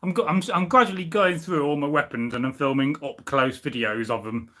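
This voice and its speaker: A young man from the UK hosting a loose, unplanned live stream in front of a camera on a tripod at home. His voice sits a little high, and he talks in a rambling, chatty way, full of ums, uhs and restarts. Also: brisk, sharp tone. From United Kingdom. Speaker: history channel host. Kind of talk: casual live stream chat.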